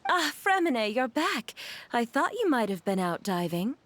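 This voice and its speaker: A woman playing a gracious, genteel mother and employer in a video game, courteous, refined and gentle. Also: a little high, sing-song, moderate pace. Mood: gracious, relaxed.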